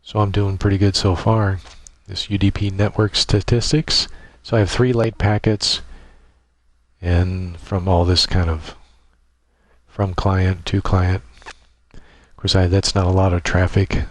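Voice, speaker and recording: Deep voiced guy walking thru software setup, casual n a bit halting, dry close mic. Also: no echo. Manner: informal, halting step-by-step explaining. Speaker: tutorial presenter. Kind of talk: casual software tutorial narration.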